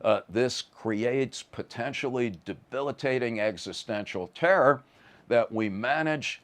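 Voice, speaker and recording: A man's voice: medium pitch, unhurried, a bit bright. A man giving a conversational lecture in a wry, vivid, emphatic manner, often stammering on the starts of words.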